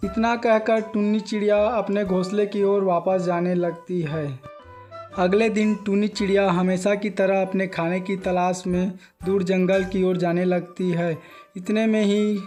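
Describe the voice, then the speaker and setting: A man's voice: high-pitched, little pitch movement, a little slow, a little mellow, faint music behind. A man narrating a scripted children's moral story as a storyteller.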